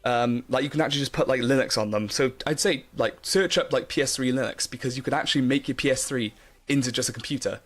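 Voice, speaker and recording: A man's voice: mid-range voice, quick pace. A young man chatting casually on a live stream, rambling with lots of fillers and restarts while he answers chat. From Wales.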